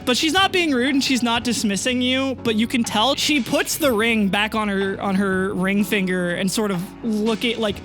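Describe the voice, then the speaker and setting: A game master narrates a tabletop adventure over prominent music. The pitch sits in a middle range and the delivery is conversational, peppered with "like". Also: moderate pace, hardly any pauses, a bit bright. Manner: conversational, uses "like" often. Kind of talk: narrating a tabletop roleplay audio drama. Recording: music under the voice. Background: background music.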